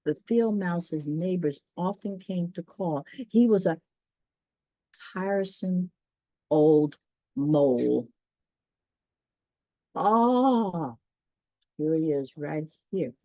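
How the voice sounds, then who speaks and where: A woman reading a children's story aloud, pausing dramatically and chatting directly to her listeners. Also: fairly low pitch, lively intonation, no echo. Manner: dramatic pauses, gasps, talks directly to listeners. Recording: dull, dry lo-fi sound.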